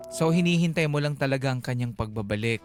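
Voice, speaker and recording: A man's voice: medium pitch, lively intonation, fast talker, darkish tone. A man co-hosting a radio advice show, casual and inquisitive in conversation. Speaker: radio co-host. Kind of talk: casual phone-in advice chat. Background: faint music.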